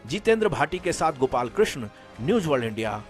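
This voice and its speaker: A man's voice: medium pitch, big pitch swings, fairly quick. A man narrating a scripted news report as a reporter. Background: background music.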